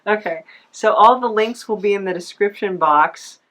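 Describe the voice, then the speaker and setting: A woman's voice: medium pitch, moderate pace, fairly bright tone, low-quality recording. A woman hosting a podcast in a casual, rambling, self-deprecating chat style. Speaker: podcast host.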